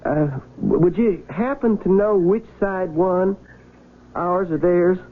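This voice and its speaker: An actor playing a nervous, polite young Civil War soldier in a radio drama, with a creaky American voice and folksy, halting, stammering speech. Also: male voice, sits high. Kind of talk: 1970s radio drama acting. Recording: narrow, tinny vintage radio broadcast, music behind.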